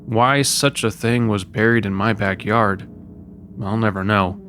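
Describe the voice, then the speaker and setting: A man's voice: on the low side, fairly even intonation, a little slow, fairly bright tone. A man narrating a scripted first-person creepy story.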